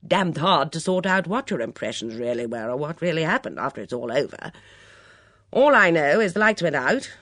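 Woman putting on a blunt, forceful countrywoman. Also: sits low, very animated, normal speed, no echo, clean studio sound, exaggerated voice. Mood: brusque, matter-of-fact. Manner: blunt, bellowing, clipped upper-class slang. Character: brusque, no-nonsense, loud countrywoman, blunt and commanding.

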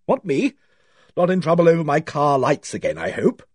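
A hearty, emotional woman from a detective novel, acted by a male narrator. The voice is lifted high and driven hard, with emphatic, exclamatory phrasing and big swings of pitch. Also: very animated, normal speed, no echo, studio quality. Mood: hearty surprise. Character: hearty, booming, defiant woman who breaks into anguish. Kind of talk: audiobook character voice.

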